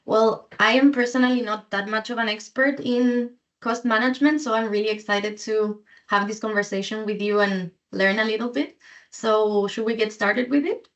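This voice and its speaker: A woman hosting a podcast, introducing the show and asking smooth, prepared questions in a friendly way. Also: a little high, steady tone, moderate pace, highs cut off. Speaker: podcast host.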